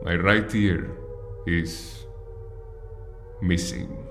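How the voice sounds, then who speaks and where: An actor playing a theatrical, self-important male guerrilla leader in an audio drama, making grandiose, deliberate pronouncements. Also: sits low, fairly even intonation, fairly bright tone, full character voice. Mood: proud, commanding. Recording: echoey room with music behind.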